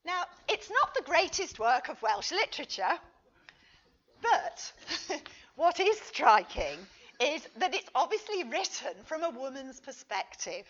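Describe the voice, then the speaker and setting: A woman lecturer reads a prepared paper in a slightly dull, lo-fi recording. Her delivery is unhurried and deliberate. She speaks expressively, with lively rises and falls across each sentence. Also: high voice. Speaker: lecturer reading a conference paper. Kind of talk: scripted academic lecture read aloud. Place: conference talk.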